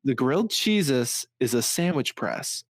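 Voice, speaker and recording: A man's voice: medium pitch, big pitch swings, unhurried, slight background noise. A man hosting a casual podcast with friends, playful and teasing, hamming up mock-dramatic lines. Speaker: podcast host. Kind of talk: casual chat.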